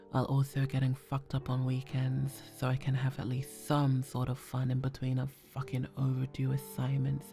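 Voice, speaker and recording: A creaky, fry-laden voice acted by a woman for a fed-up young female employee in an audio roleplay. She is sarcastic and irritable, swearing freely, over faint music. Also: rumbling low, dark tone. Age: young adult. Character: grumpy, foul-mouthed, exasperated young woman working a dull job.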